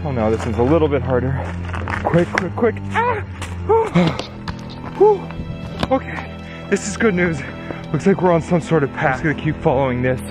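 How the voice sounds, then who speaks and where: A man's voice: on the high side, huge pitch swings, moderate pace, music in the background, low-quality recording. Breathless young man vlogging in the woods. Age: young adult. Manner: breathless, urgent, full of gasps and exclamations. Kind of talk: excited vlog narration. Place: woods outdoors. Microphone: handheld camera at arm's length.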